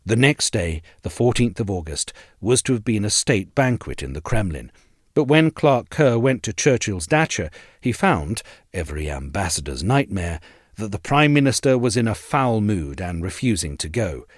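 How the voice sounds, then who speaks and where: A man with a lowish voice reads a formal essay aloud. His delivery is measured and articulate, with lively, wide-moving intonation. The sound is dry and close. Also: no echo. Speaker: essay narrator. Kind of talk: scripted audio essay narration.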